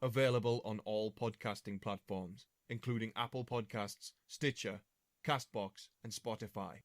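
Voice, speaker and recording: A man's voice: fairly low pitch, steady tone, moderate pace, dry recording, clean studio sound. A man reading a scripted announcer tag for a podcast promo.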